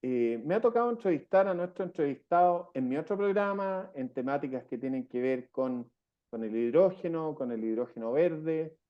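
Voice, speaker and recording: A chatty Chilean man hosts a radio interview, slipping his own family stories in between questions. His voice sits a bit high for a man, with a mellow, dark tone. The recording sounds dull and lo-fi. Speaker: radio host.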